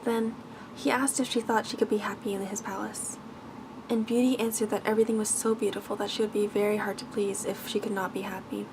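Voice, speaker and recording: A woman's voice: medium pitch, level tone, brisk, background noise. A woman reading a bedtime fairy tale aloud as ASMR narration. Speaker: ASMR storyteller.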